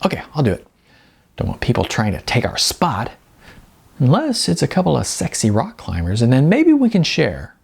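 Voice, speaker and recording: A man's voice: mid-range voice, huge pitch swings, moderate pace, gravelly, slightly rough, pitched above the performer's own voice, full character voice. A man voicing a dramatic, playful young woman in an audiobook, with exaggerated, informal delivery.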